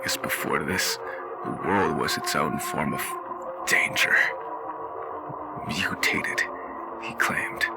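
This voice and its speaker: A low-voiced, grieving father played by a man speaks extremely slowly, with a gravelly creak and weary, halting pauses. He is a wasteland survivor in a dramatic game roleplay, with clear music playing underneath. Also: heavily acted. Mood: somber, uneasy. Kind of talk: dramatic in-character roleplay monologue.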